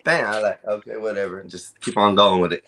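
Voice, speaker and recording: A man with a deep voice who grew up speaking Spanish and English, chatting casually as a stream guest in a mumbling, self-deprecating way. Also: steady tone, brisk, sharp tone, a little hiss or hum. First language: bilingual Spanish and English. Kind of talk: casual livestream chat.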